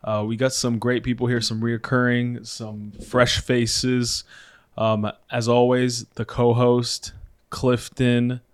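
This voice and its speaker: A man's voice: medium pitch, level tone, slow pace, clean tone. Laid-back joking man hosting a podcast. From Oregon. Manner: joking, loose, filler-heavy talk. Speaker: podcast host. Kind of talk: casual podcast chat.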